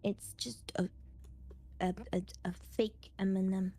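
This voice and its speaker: A woman chatting playfully on a livestream in an excitable, childlike way, often repeating words and exclaiming. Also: mid-range voice, measured pace, no room sound. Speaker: streamer co-hosting a live stream. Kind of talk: casual playful livestream chat.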